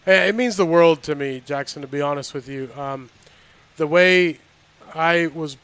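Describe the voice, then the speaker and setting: A man from Miami who coaches volleyball speaks with earnest enthusiasm. His voice is a bit higher than average and smooth. He talks warmly about personal things in a relaxed interview. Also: unhurried, dry recording. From Miami, Florida. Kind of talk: casual interview.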